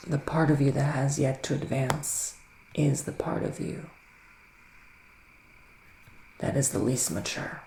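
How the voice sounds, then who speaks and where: A man speaking softly and often whispering in an intimate ASMR roleplay as a gentle psychic witch, with deliberate, soothing pauses. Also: mid-range voice, little pitch movement, reverberant, slight background noise, poor audio. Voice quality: soft, breathy, often dropping to a whisper. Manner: slow, deliberate, pausing, soothing delivery. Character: gentle male witch giving a psychic reading. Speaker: ASMR performer playing a psychic tarot reader.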